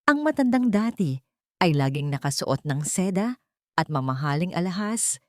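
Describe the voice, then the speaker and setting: A woman's voice: on the low side, sing-song, normal speed, dry recording, studio quality. A woman reading dramatic scripted narration as an audiobook narrator.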